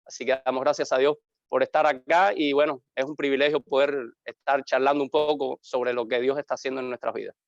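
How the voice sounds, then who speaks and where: A Cuban man, a pastor, telling his life story in an interview in an earnest, reflective way, with pauses. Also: mid-range voice, steady tone, no echo, muffled recording. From Cuba. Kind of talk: interview, personal testimony.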